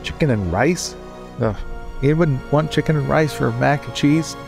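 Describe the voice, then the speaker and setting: A man's voice: medium pitch, moderate pace, creaky, music in the background. A man playing a tabletop role-playing game, talking casually and in character.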